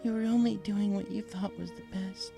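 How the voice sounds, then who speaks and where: A man's voice: high-pitched, steady tone, moderate pace, gravelly, over music, voice pushed up. A man voicing a worried, tender female pegasus mother in a storybook, hesitant and apologetic. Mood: comforting. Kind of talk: storybook character voice.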